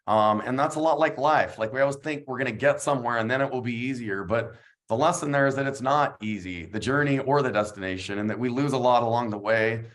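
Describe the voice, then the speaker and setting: A man's voice: medium pitch, a bit bright. A man as a podcast guest, speaking earnestly and reflectively, with frequent fillers and restarts. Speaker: guest, real estate agent. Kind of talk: casual interview chat.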